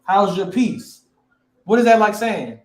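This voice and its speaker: A man's voice: sits high, lively intonation, unhurried, reverberant. A man teaching a class, quizzing his students and repeating key words.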